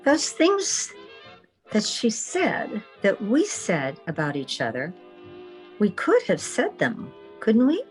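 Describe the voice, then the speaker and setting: A woman acting the wry, romantic leading lady of an old-time radio comedy. She takes her lines slowly, with lively swings of pitch. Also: soft background music, rough recording. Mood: tender, coy.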